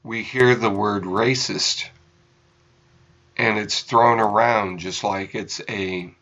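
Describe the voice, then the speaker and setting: An American man giving unscripted political commentary as a radio host, in halting, deliberate phrases with emphasized words. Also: on the low side, fairly even intonation, a bit bright, lo-fi sound.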